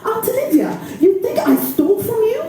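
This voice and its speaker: A woman's voice: very high voice, very animated, normal speed, warm tone, far from the mic, pitched above the performer's own voice. A woman voicing a distressed teenage girl, earnestly imploring on behalf of her friend in a story reading. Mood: shocked disbelief. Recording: very echoey room, faint noise.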